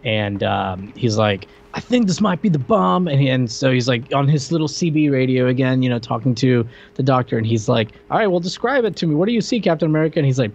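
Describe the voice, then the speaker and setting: A man's voice: medium pitch, lively intonation, fast, hardly any pauses, a little mellow, highs cut off. A man co-hosting a podcast in casual chat, animatedly retelling movie scenes. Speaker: podcast co-host.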